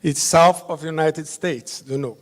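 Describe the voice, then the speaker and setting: A Brazilian judge whose first language is Portuguese, giving a conference presentation in halting English with short, simple phrases. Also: male voice, fairly high pitch, steady tone. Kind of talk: slide presentation at a summit. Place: large hotel conference hall. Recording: long reverb of a big hall.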